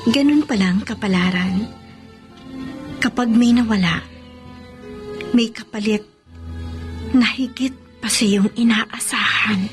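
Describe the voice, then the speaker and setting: An actress playing a gentle, resolute bride-to-be in a radio drama, speaking in a composed, sincere and measured way. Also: female voice, on the high side. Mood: wistful, resolved. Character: gentle, resolute bride-to-be forgiving her former love. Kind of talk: Filipino radio drama acting. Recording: faint music behind the voice.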